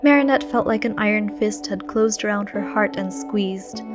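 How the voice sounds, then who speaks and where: A woman reading a scripted story aloud in an expressive, literary way. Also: medium pitch, normal speed, over music. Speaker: fan fiction reader.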